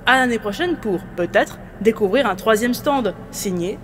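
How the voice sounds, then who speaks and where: Woman acting, somewhat high female voice, casual, easily amused, teasing, audio drama. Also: expressive, normal speed, slight background noise, lightly acted. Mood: reading aloud. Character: chirpy, easily amused female museum visitor, teasing companion.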